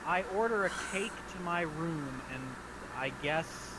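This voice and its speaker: A man's voice: on the high side, animated, measured pace, noisy background. A young man playing a tabletop game, trading dry, mock-formal quips. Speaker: player. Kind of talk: casual game banter.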